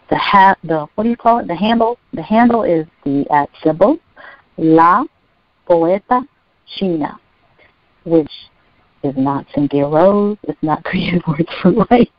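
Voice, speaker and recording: A woman with a soothing, relaxing voice, pitched a little low, chats casually as a guest. She rambles a bit, with fillers and restarts. The recording is dry and dull, lacking brightness. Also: no echo. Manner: chatty, rambling with fillers and self-corrections. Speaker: poet, guest. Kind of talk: casual interview chat.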